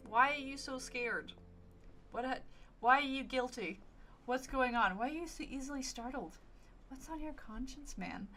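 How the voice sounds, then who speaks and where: A woman's voice: high-pitched, moderate pace, a bit bright. A woman streaming a game, giving casual, playful and wry commentary as she talks back to the characters. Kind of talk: casual live gameplay commentary.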